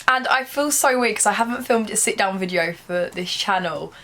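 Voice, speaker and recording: A young British woman chatting casually to camera as a vlogger, her voice slightly croaky as she gets over a cold. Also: mid-range voice, moderate pace, pushed and bright. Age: young adult.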